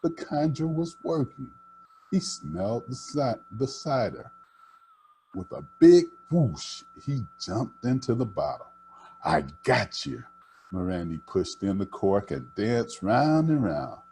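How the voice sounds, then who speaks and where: Animated, mellow older male storyteller. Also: mid-range voice, unhurried, slight background noise. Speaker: storybook reader for children. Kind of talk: expressive read-aloud storytelling.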